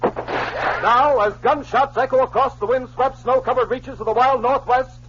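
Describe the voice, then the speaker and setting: A man announcing an old-time radio serial and its sponsor pitches in a dramatic, punchy, hearty way. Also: steady tone, a little slow, background noise. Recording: dull lo-fi vintage radio recording.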